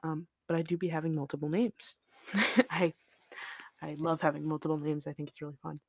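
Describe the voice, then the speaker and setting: Solo podcast host, flat and monotone, rambling and tangent-prone; creaky low-energy fry, dry close-mic sound. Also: a little fast, dry recording. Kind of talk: unscripted solo podcast chat. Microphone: shelf-mounted studio mic.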